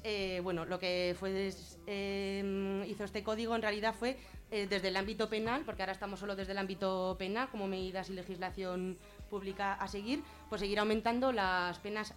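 Female radio guest, Spain accent, medium pitch. Explains in everyday words, halting and thinking aloud, unscripted. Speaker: guest explaining gender-violence legislation on a radio show. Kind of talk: informal explanatory talk.

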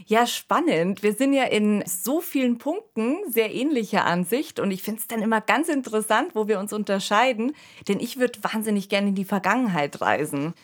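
A woman's voice: mid-range voice, expressive, normal speed, hardly any pauses. Conversational woman co-hosting a podcast. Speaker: podcast co-host.

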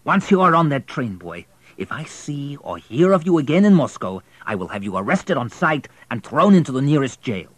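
A small, bald station master, cold and sneering, barking orders, as a man reading a storybook does him. His voice sits higher and louder than the reader's own, with wide swings of pitch. The sound is dry and close. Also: very animated, moderate pace, dry recording. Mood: stern. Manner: commanding, sneering.

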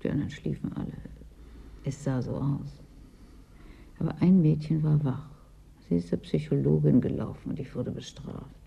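A woman's voice: low voice, normal speed, creaky, pauses often, warm tone, slightly rough, heavily acted. An actress in a German radio play playing a chilled, wistful woman who owns property in a frozen city, querulous and brooding. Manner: querulous, brooding, dwells on memories.

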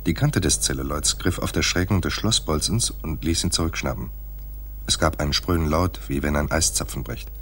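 An actor playing a laconic hard-boiled private detective in a noir radio play, with a dry, terse delivery and a husky voice. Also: male voice, moderate pace, a little hiss or hum. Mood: dry, detached. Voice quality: husky, very deep. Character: hard-boiled male private detective narrating his own case. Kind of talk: noir radio play, first-person narration.

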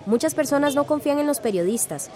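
An actress playing a sensible, casual community video maker in a radio drama. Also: female voice, high-pitched, animated, quick pace, warm tone. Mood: cautious.

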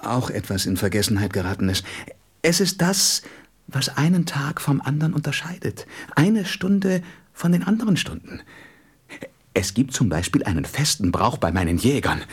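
Husky, wistful fox with swooping voice. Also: male voice, medium pitch. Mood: animated, instructive. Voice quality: husky, breathy voice that drops into whispers. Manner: deliberate, expressive, tender storybook delivery. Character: wistful, gentle, wise fox longing for friendship. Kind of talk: radio play acting.

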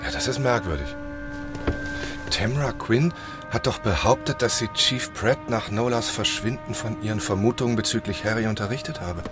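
An actor playing an inquisitive writer who investigates and narrates in a German radio crime drama, probing and curious. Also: male voice, lowish voice, moderate pace, music in the background, lightly acted. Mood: pondering.

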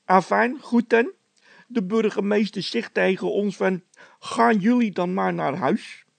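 A hot-headed, scornful workman from a comic storybook, acted out by a man. The voice sits very high and pushes out hard in indignant, scolding bursts. It sounds close and dry. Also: unhurried, vocal fry, dry recording. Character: hot-tempered, mocking workman with a scolding streak. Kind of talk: character voice in a read-aloud comic story.

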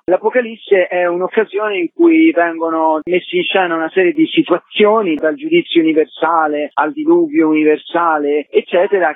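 A man's voice: on the high side, no room sound. A young man with an Italian accent, a playwright and actor, answering in a radio interview in an articulate, discursive, wry way. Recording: thin phone-like line.

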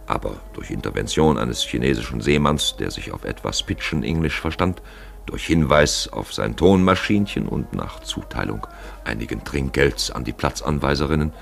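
A man narrating a literary radio feature in an emphatic, wry storytelling manner, with a gravelly voice. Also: a bass voice, lively intonation, moderate pace, fairly bright tone. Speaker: narrator and presenter. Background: music.

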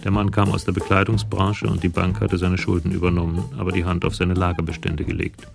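A man narrates a German radio crime drama from a script. His voice is low and gravelly, with music playing clearly behind it. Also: level tone, a little fast.

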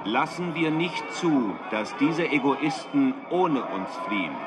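A man's voice: mid-range voice, animated. An actor playing a rabble-rousing male head of state, giving a declamatory political speech in a radio drama. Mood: indignant. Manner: declamatory, slow. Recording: very noisy speech with ambience. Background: loud ambient noise.

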